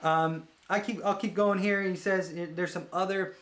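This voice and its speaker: A man's voice: sits high, fairly even intonation, moderate pace, a bit bright. A man hosting a business book read-along, explaining ideas casually and thinking aloud in a rambling way. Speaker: host of a business book read-along show, web agency owner. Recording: roomy, echoey sound.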